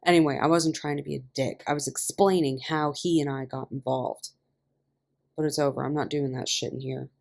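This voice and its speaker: A woman voicing a gruff, irritable male prison inmate in an audio story, curt, mumbling and slangy. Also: a bass voice, steady tone, normal speed, darkish tone, exaggerated voice. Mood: firm, defensive.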